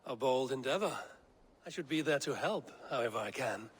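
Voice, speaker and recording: An actor playing a loyal Viking warrior, measured and plain-spoken, in a dramatic game cutscene. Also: male voice, mid-range voice, expressive, husky. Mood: resolute. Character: loyal, steady young Viking warrior and raid leader.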